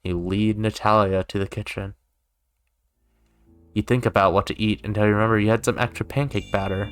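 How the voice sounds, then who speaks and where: A man's voice: on the low side, level tone, fairly quick, clean tone, no echo. A man doing let's play commentary, reading game narration aloud and dropping in joking asides. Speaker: gaming YouTuber. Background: music.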